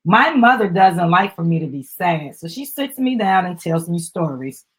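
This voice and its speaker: Husky woman reading a storybook aloud. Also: on the low side, lively intonation, normal speed. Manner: upbeat, prompting and encouraging a small child. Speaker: host and mother reading a children's book aloud. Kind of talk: live dramatic storybook reading with chatty asides.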